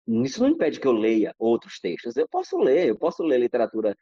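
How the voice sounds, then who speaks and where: A man's voice: mid-range voice, wildly swooping pitch, brisk, warm tone, dry recording. A Brazilian man talks as a podcast guest, circling his points with restarts and fillers. The sound is dry and a little muffled. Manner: discursive, many restarts and fillers. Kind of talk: reflective casual talk.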